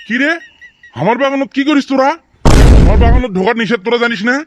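A man's voice: very high voice, quick pace, lo-fi sound. A man voicing a menacing local tough in a comic cartoon, with a northern Bangladeshi accent. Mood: threatening. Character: menacing local male tough guarding his garden. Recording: noisy cartoon soundtrack with clicks.

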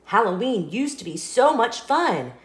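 Lady reading a picture book to kids, lots of expression, highish voice, echoey room. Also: sing-song, measured pace. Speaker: children's storybook reader. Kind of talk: expressive read-aloud narration for kids. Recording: roomy indoor echo.

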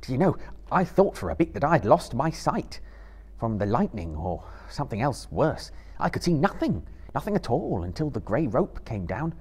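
Gentlemanly hobbit hero, male reader performing: earnest, resolute, well-spoken, lively husky tone for fantasy storytelling. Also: mid-range voice, moderate pace, voice pushed up. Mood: relieved. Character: earnest, determined gentlemanly hobbit adventurer, thoughtful.

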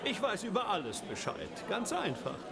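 A high, swooping male voice belongs to a smug, sly stranger in a game drama. He speaks with silky, taunting theatricality. A loud crowd chatters behind him. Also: huge pitch swings, moderate pace, gravelly, heavily acted. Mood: courteous, self-assured.

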